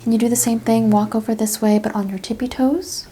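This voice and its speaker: Woman, mellow soft ASMR voice, gentle clinician instructions, slips into whispers, faint background noise. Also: on the high side, steady tone, brisk. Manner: gentle clinical questioning and step-by-step instructions, often dropping to a whisper. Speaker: ASMR practitioner in a chiropractic roleplay. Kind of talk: soft-spoken ASMR roleplay.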